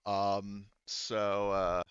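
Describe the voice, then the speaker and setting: Guy game mastering, low voice, kinda halting, rephrases a lot, dry slightly dull mic. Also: monotone, a bit bright, clear, resonant tone, dry recording. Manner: halting, explains in repeated rephrasings. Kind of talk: casual tabletop game narration and chat.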